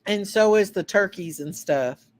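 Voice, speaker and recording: A middle-aged woman from the Kentucky hills speaks with an Eastern Kentucky Appalachian accent at a slow, drawn-out pace. Her voice sits at an ordinary middle pitch. She chats folksily with her viewers and laughs easily. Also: darkish tone. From southeast Kentucky. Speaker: channel host. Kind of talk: casual livestream chat reading comments.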